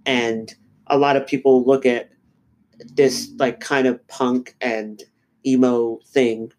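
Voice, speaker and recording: A man's voice: medium pitch, little pitch movement, darkish tone, slight background noise. Nasal man with a cold, thinking aloud. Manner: halting, many fillers and restarts. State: has a cold, slightly nasal. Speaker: podcast co-host. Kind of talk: casual podcast discussion.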